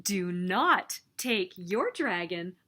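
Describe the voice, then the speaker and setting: A woman reading a children's picture book aloud as story host, with expressive, emphatic delivery. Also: fairly low pitch, extremely slow, slight background noise. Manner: expressive, emphatic storytelling with sing-song refrains.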